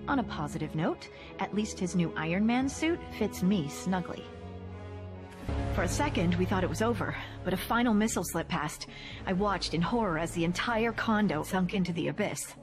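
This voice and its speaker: A woman's voice: fairly low pitch, animated, moderate pace, lightly acted. A woman voicing a wry, chatty hero's partner. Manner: wry, joking asides. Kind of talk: scripted cutscene narration. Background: music.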